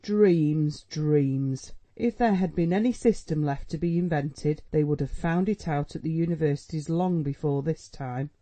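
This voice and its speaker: A man's voice: a little high, a little slow, few pauses, warm tone, natural voice. A man reading a novel aloud, voicing a proud, anxious middle-aged mother who scolds her son and pleads with him. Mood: scornful. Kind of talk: audiobook dialogue reading.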